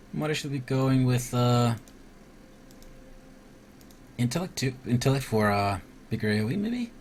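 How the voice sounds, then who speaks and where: Animated male game streamer over background noise. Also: medium pitch. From Iceland. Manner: thinks aloud, mutters to himself, mild swearing. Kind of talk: casual live gameplay commentary, reading game text aloud.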